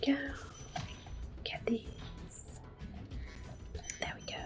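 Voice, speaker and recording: Slow, whispering woman doing ASMR commentary. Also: music in the background. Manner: hushed, unhurried narration of own play. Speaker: ASMR gameplay host. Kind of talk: soft-spoken ASMR game commentary with whispering.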